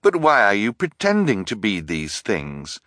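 A man's voice: fairly low pitch, wildly swooping pitch, unhurried, a bit bright, no echo, clean studio sound, full character voice. A man voicing an earnest, clever boy who questions pointedly. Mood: indignant.

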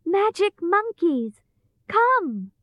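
A woman's voice: high-pitched, lively intonation, takes it slowly, no echo, dull audio, voice pushed up. A woman voicing a brave, earnest young girl in a storybook, with an emphatic delivery. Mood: urgent, pleading.

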